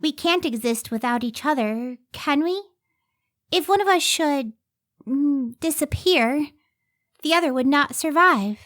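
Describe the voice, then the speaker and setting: A woman playing a devoted, bright young woman scientist in an old-time radio drama. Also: high-pitched, animated, a little slow. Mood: earnest, tender.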